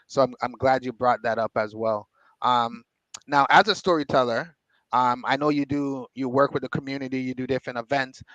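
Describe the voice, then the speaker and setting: A man's voice: medium pitch, fairly bright tone, dry recording, rough recording. A man hosting a business interview show, encouraging and affirming his guest. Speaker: host.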